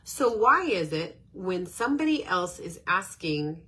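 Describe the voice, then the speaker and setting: A woman's voice: lowish voice, sing-song, measured pace, fairly bright tone. A middle-aged woman with a stuffed-up nose and a nasal voice, hosting a casual, rambling self-help talk with many fillers. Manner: chatty and rambling, with frequent fillers. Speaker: podcast host.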